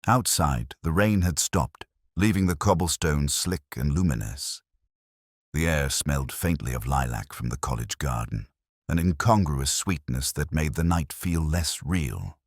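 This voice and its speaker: A man reading a first-person detective story as scripted narration, in a measured, literary storytelling manner. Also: extremely low pitch, no echo, clean studio sound.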